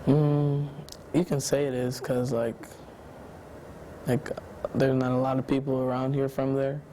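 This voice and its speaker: Monotone, laid-back teenage boy. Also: mid-range voice, slight background noise. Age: teens. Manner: laid-back, halting, lots of "like". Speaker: student interviewee. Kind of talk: documentary interview answers.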